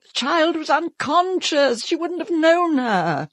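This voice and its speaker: An actress playing a vain, self-absorbed stage star with a British accent, haughty and melodramatic in a radio drama. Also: female voice, high voice, big pitch swings, slow, dry recording, exaggerated voice. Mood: defensive, indignant.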